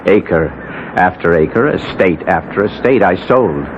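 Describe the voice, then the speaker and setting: A man's voice: deep voice, lively intonation, measured pace. An actor playing an anguished, ruined young nobleman, speaking with earnest melodrama and formal phrasing in a radio drama. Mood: remorseful. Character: anguished young nobleman, ruined, proud wastrel recounting his fall. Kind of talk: 1970s radio drama acting and narration. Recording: muffled, noisy old radio broadcast recording.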